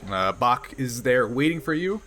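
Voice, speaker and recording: A man working as game master, narrating a tabletop game off the cuff in a halting, conversational way. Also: medium pitch, big pitch swings, bright, forceful tone.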